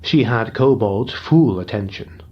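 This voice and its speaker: A man narrating a dark fantasy audiobook in a steady, dramatic storytelling manner. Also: lowish voice, a little slow, slightly rough. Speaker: narrator and writer. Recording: dull lo-fi recording.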